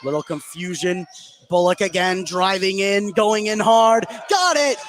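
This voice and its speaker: A man calling live high school basketball on the radio as play-by-play commentator, getting excited on big plays. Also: measured pace, a crowd in the background. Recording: gym noise behind the voice. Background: gym ambience, bouncing basketball.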